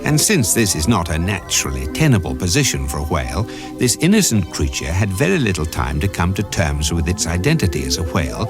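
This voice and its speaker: Wry male narrator for comic science fiction, calm, deadpan, swinging intonation, music underneath. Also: low-pitched, fairly quick. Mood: wry, measured. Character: calm, wry male storybook narrator of absurd cosmic events.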